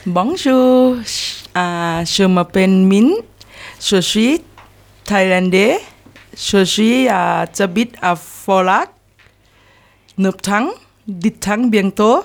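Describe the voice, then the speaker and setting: A woman giving a halting self-introduction in broken learner French. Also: mid-range voice. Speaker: language learner.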